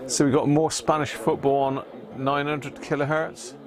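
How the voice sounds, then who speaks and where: A man's voice: a little high, little pitch movement, moderate pace, over a noisy crowd. A man hosting a live radio stream, casually commenting as he tunes through stations and reads out viewers' reports.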